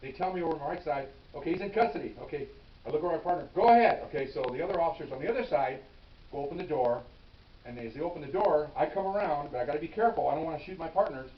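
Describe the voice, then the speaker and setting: A retired police officer, an older man, telling a story from his career to the camera in a casual, animated way and acting out the shouting. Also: fairly high pitch, racing. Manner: animated, acts out his own yelling. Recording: roomy, distant, dull with hiss.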